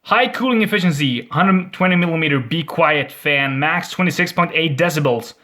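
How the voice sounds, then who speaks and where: A young man with a Swedish accent, unboxing computer parts in a casual, excitable vlog at home, swearing and joking as he goes. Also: a little high, moderate pace. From Sweden. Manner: excitable, swears a lot, jokey. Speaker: gaming vlogger. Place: at home indoors. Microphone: webcam.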